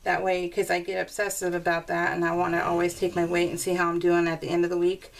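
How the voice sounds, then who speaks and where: A woman's voice: on the low side, fast, creaky. Chatty woman, slightly distant mic. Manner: chatty, animated when retelling conversations. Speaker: crafting vlogger. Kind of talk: casual chat, rambling personal storytelling over a craft process video. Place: indoors at a craft table.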